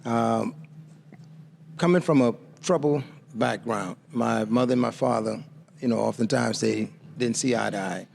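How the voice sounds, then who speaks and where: A man in his fifties from the Bahamas, telling his life story as a guest author in a measured, reflective way. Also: medium pitch, gravelly, darkish tone, slight background noise.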